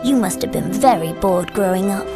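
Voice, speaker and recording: A woman's voice: medium pitch, moderate pace, over music, natural voice. A woman playing a spoiled, playful young noblewoman in a video game, bored and sarcastic, drawling her words. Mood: bored, teasing.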